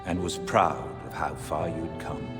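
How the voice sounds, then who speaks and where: An actor playing a reflective old war veteran and fatherly mentor in a video game, speaking in a measured, earnest way. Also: very deep voice, fairly even intonation. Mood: earnest, consoling. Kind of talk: video game cutscene acting. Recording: reverberant, loud music behind.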